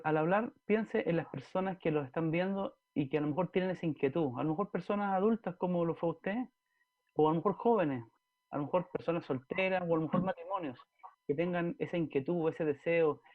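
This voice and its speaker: A man's voice: fairly high pitch, brisk. A man interviewing a pastor, asking long winding questions and dropping in short affirmations. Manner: long winding questions, frequent "ya" and "amén" backchannels. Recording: tinny phone-like sound.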